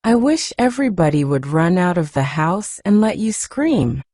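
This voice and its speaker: Woman voicing a stamping, hot-tempered little girl, warm tone, lively pitch. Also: moderate pace, dry recording, clean studio sound. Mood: angry. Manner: stamping, near shouting.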